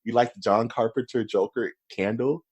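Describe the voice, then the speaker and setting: A man co-hosting a casual podcast, animated and joking, often calling his co-host "dude". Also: mid-range voice, moderate pace, slightly rough.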